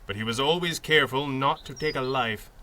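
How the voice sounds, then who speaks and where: A bright, crisp-edged voice at a middling pitch. It is a man acting a principled, compassionate masked hero in an old-style radio adventure, reasoning aloud with earnest, articulate calm. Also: a little fast, a little hiss or hum, natural voice. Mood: thoughtful, earnest. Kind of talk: 1930s-set radio drama acting.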